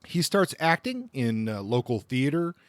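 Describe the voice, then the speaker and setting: Male podcast host, informal biographical narrator, conversational and halting, recorded dry. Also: medium pitch, big pitch swings, no room sound.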